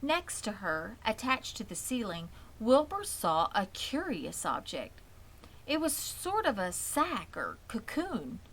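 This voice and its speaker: Storybook reader: woman, mid-range voice, measured and slow scripted narration for children. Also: mid-range voice, huge pitch swings, a bit bright, slight background noise.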